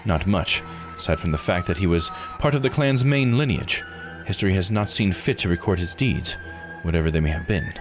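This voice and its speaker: A man voicing a formal, scholarly investigator with measured, old-fashioned phrasing in a visual novel read-aloud. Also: low-pitched, expressive, music in the background, voice pushed down. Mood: grave.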